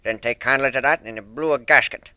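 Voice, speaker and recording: Guy doing gruff old salty sailor pony, stern, deadpan, punchy voice, cartoon story style. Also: medium pitch, brisk, no echo. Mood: gruff, mocking. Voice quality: stern, slurred S sounds, rolled R's. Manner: deadpan, blunt seafaring talk. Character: grizzled old male sea dog pegasus, gruff, deadpan.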